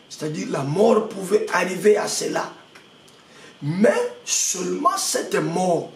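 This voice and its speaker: High-pitched, congested man preaching slowly. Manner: halting, many hesitations and repeated words, rhetorical questions to listeners. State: congested with a head cold. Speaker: pastor. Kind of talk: prayer-meeting sermon. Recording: echoey room, mic at a distance, faint background noise.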